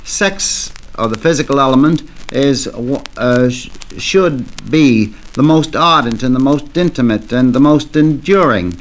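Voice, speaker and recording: A man with a medium-pitched voice takes part in a 1940s radio panel. His wording is formal and deliberate, as a considered guest in a studio debate. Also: poor audio.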